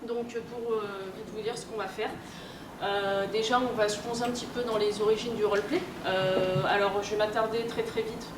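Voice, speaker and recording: A woman's voice: medium pitch, fairly even intonation, fairly quick, over music. A woman giving an informal conference talk in a conversational, hesitant way, with many fillers. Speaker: lecturer, games researcher and editor. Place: indoor convention talk room. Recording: roomy, noisy convention room, distant mic.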